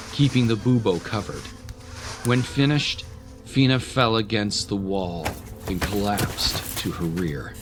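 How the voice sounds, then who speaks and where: A man with a low voice narrates an audio drama. His delivery is scripted, dramatic storytelling, and music plays clearly behind him.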